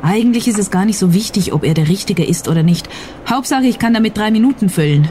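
An actress playing a cool, cynical television reporter in a radio crime comedy, matter-of-fact and unbothered. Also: female voice, mid-range voice, expressive, quick pace, over music, lightly acted. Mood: cool, indifferent.

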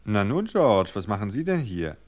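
A man's voice: fairly low pitch, very animated, normal speed, darkish tone. A man voicing a young married woman who is polite, guarded and easily startled, with a hesitant, emphatic manner. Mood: friendly, surprised.

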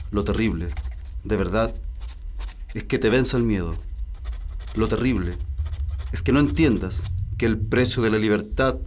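A man with a Chilean accent acting in a radio drama as an earnest, moved witness, speaking in solemn, measured, declamatory phrases. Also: on the low side, slight background noise, natural voice.

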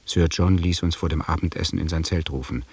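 Expedition chronicler, very low husky voice, sober radio drama narration, set back from the mic. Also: male voice, fairly quick, distant mic. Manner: sober, matter-of-fact. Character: sober male expedition member recounting events. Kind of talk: scripted audio drama narration.

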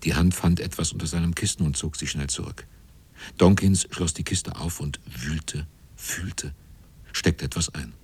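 An actor narrating a radio drama as a grave seaman, with a husky, often hushed delivery. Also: very deep voice, measured pace, exaggerated voice. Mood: sombre, suspenseful.

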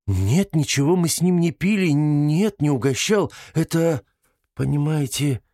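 A man voicing a flustered, ashamed older woman who pleads haltingly in a breaking voice, in an audiobook. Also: fairly high pitch, lively intonation, mellow, no echo, clean studio sound, voice pushed up. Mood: flustered, defensive. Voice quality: breaking, tearful voice.

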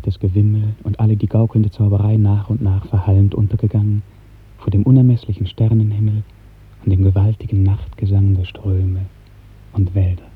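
A man narrating a literary radio drama in 1950s German style, with measured, lyrical storytelling. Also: lowish voice, breathy edge. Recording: muffled, narrow-band 1950s radio recording.

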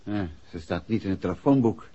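An actor playing a composed, inquisitive gentleman detective in a Dutch radio play from the 1960s, with a Netherlands accent and measured, courteous speech. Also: lowish voice, a little hiss or hum, lightly acted. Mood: pensive. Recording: dull, muffled 1960s radio recording.

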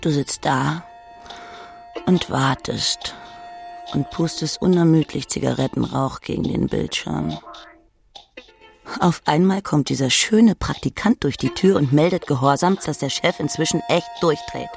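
Female audiobook reader, wry and dramatic, gravelly low-end creak, faint music behind. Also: a little slow, darkish tone. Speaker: audiobook narrator. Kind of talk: literary second-person narration, present tense.

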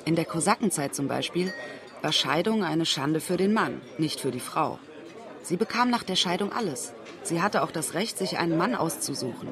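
A woman's voice: low voice, expressive, normal speed, crowd noise. An actress voicing an outspoken woman writer in a scripted radio voice-over translation. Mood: earnest.

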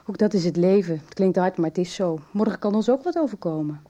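A woman's voice: fairly low pitch, lively intonation, quick pace, dark tone, dull audio, slight background noise, close to an everyday voice. An actress playing a direct, matter-of-fact woman in a naturalistic radio soap. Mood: frank.

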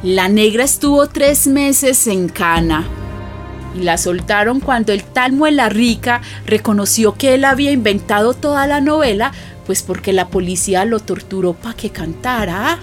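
An actress playing a plainspoken Colombian neighbour who recalls events in vivid, colloquial testimony for a radio drama. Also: female voice, on the high side, moderate pace, lightly acted. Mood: confiding. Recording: music behind the voice.

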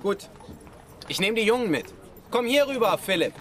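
Lively, high-voiced bold young-hearted adventurer. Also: male voice. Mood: brisk. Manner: commanding, theatrical, quick-witted. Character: plucky, bold travelling actor guarding children. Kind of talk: German children's radio drama acting. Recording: music behind the voice.

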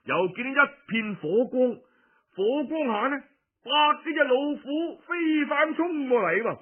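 A man with a Cantonese accent telling historical tales in a dramatic, colloquial and expressive storytelling style. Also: extremely high pitch. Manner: colloquial, expressive narration with frequent drawn-out particles. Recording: muffled phone-like radio recording.

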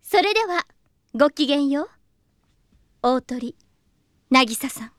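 Haughty, high-voiced elegant young lady. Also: expressive, takes it slowly, a bit bright, no room sound, clean studio sound, exaggerated voice. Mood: brisk, gracious. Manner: refined, commanding ladylike speech. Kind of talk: Japanese anime audio drama acting.

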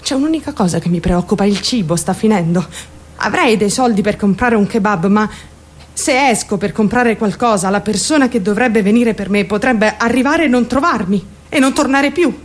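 A woman's voice: medium pitch, moderate pace, close to an everyday voice. An actress playing a troubled teenage girl who recounts her life in an intense, confiding dramatic radio monologue. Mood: naive confiding. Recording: radio drama over background music. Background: music.

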